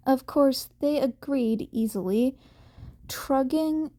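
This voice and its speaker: Brief: female fiction narrator, fairly high voice, very unhurried delivery, small stumbles left in. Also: mellow. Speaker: fan fiction reader. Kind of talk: scripted story narration with acted dialogue.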